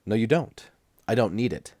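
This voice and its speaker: A man's voice: fairly low pitch, lively intonation, moderate pace, a little mellow, no echo, studio quality. A man voicing a cool, defiant male patient in an audiobook, curt and wry. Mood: defiant, sardonic. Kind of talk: audiobook character dialogue.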